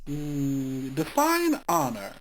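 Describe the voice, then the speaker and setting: A woman's voice: a bass voice, wildly swooping pitch, drawn-out words, full character voice, rough recording. A woman doing a smug arcade wish-granting spirit. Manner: haughty and teasing, sticks to the letter. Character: arcade-game wish granter, smug, pedantic, rule-twisting.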